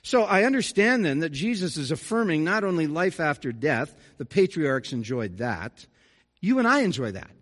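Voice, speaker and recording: A man's voice: a little high, very animated, normal speed, fairly bright tone. A middle-aged man, a pastor delivering an earnest, conversational sermon with folksy asides. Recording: reverberant church hall.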